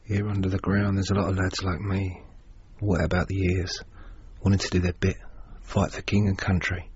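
An actor playing a sombre, bitter young dead soldier with a deep, very husky voice, in a radio drama monologue. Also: male voice, flat delivery, moderate pace, darkish tone, dry recording, muffled recording.

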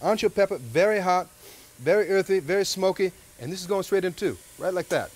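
A man's voice: high-pitched, lively intonation, brisk. A man in his thirties hosting a cooking show at an outdoor grill, chatty and joking as he cooks. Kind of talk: cooking demonstration.

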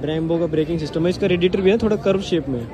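High-voiced young man, busy hall. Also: quick pace, a crowd in the background. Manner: informal, chatty, bantering with friends. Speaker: vlogger. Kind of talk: casual walkaround commentary. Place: large crowded indoor expo hall. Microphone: handheld camera, speaker behind it. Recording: busy crowded hall background noise.

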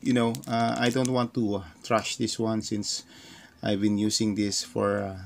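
A man's voice: lowish voice, flat delivery, measured pace. A Filipino man whose first language is Tagalog, hesitantly talking through an unboxing with many fillers, at a desk indoors. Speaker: vlogger. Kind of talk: casual unboxing talk. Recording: clear crinkling noise behind. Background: plastic crinkling and clicks.

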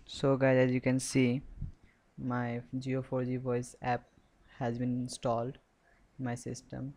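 A man presents a software walkthrough at a very slow pace. His medium-pitched voice stays flat as he instructs step by step. He speaks off the cuff, haltingly, often repeating words. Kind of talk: step-by-step screen tutorial, unscripted.

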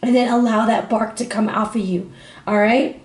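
A woman with a slightly high voice reads tarot in a chatty, upbeat way, calling her viewers family. Her throat is sore and strained. She sounds like she is in an echoey room at home. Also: fairly even intonation, moderate pace, darkish tone. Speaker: tarot reader. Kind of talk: casual tarot reading to camera.

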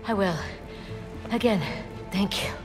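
A woman's voice: mid-range voice, measured pace, lightly acted. A woman playing a shaken, grateful scientist in a video game cutscene. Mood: grateful relief. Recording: music behind the voice.

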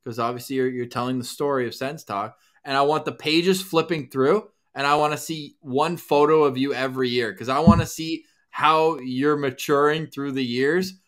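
A man's voice: mid-range voice, fairly even intonation, normal speed, hardly any pauses, a bit bright. A man co-hosting a podcast over a video call, talking playfully and joking with his guest. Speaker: podcast co-host. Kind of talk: casual video call chat.

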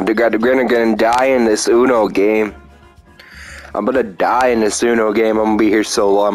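Slow, rambling young man gaming. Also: fairly low pitch. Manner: rambling, self-mocking, breaks into silly sing-song chants. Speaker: gameplay commentator. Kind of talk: casual gameplay commentary.

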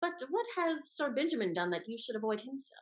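A young woman acting a scheming, haughty high-society lady in a period stage comedy, with arch, theatrical emphasis. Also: very animated, fast. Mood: effusive. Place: indoor room, performing on video call. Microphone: video call.

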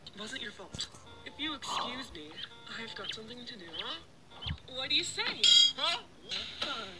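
A woman's voice: on the high side, big pitch swings, moderate pace, poor audio. An actress playing a playful, teasing young cartoon woman. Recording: loud music underneath.

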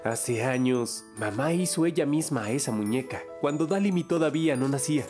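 A man voicing a gentle, proud young father in a cartoon dubbed in Latin American Spanish. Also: mid-range voice, animated, a little fast, natural voice. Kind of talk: children's animation dub. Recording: music behind the voice.

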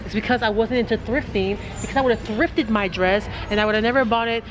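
Brief woman co-host, thirties, higher voice, jokey and loud, store din behind. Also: fast talker. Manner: loud, joking, laughs often. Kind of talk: casual thrift-shopping chat. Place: large thrift store, indoors. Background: store music, ambient noise.

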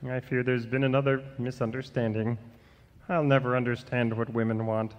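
A man's voice: mid-range voice, flat delivery, normal speed, big-room sound, close to an everyday voice. A man playing a pompous pedantic grammar stickler. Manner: precise, formal, pompous diction. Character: pedantic male grammar stickler, fussy and literal. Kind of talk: radio comedy sketch.

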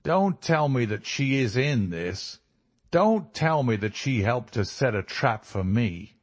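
A man voicing a fierce, lovesick and desperate American criminal in a storybook reading, forceful and emotional. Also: mid-range voice, sing-song, slow, voice pushed up. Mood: bitter, defiant.

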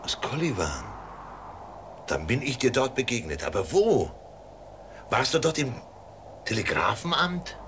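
Weary, earnest male traveller, protective, mid-pitched with lively swings, audio drama with faint ambient noise. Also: measured pace, long pauses. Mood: probing, insistent. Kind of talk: German audio drama acting.

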